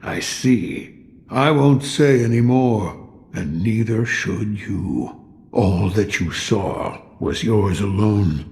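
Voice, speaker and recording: A weary, brooding old sculptor from a dramatic game. His low voice is thick with gravel and slow, curt and grave, in a long reverb. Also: expressive, a little mellow, full character voice. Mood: grave, guarded. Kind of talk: dramatic video game voice acting.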